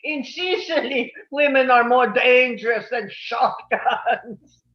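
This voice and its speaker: A man playing an easygoing, teasing Sicilian countryside companion in a live table read, talking in an unhurried, amused way. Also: extremely high pitch, lo-fi sound.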